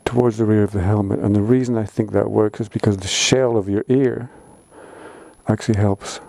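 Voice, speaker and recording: Man, casual vlog tutorial, plain-spoken, dark relaxed tone, dry sound. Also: on the low side, moderate pace, vocal fry, no room sound. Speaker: vlogger. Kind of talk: casual how-to vlog explanation.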